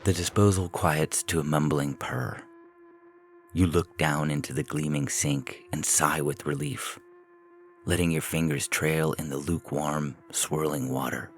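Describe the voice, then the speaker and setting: A man reading a scripted short story as narrator, in a measured, deliberate way. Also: a bass voice, steady tone. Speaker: narrator and podcast host.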